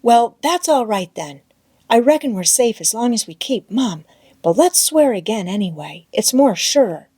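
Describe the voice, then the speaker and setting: Woman voicing an anxious, earnest boy, pitched up. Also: sing-song, measured pace, warm tone, husky, dry recording, exaggerated voice. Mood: secretive, earnest. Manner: rustic folksy dialect, eager questioning. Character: Tom Sawyer, anxious, earnest young boy.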